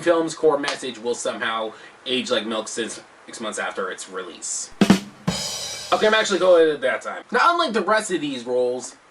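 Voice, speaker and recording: A man hosting a video countdown, delivering scripted commentary with self-deprecating, jokey asides in an animated manner. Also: medium pitch, distant mic, a little hiss or hum. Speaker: host of a video countdown show.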